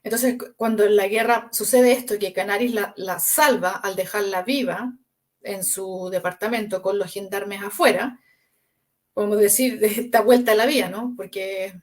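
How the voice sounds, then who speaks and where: A woman's voice: mid-range voice, steady tone, moderate pace. A woman, a book author, answering in an interview with earnest, flowing storytelling and frequent restarts.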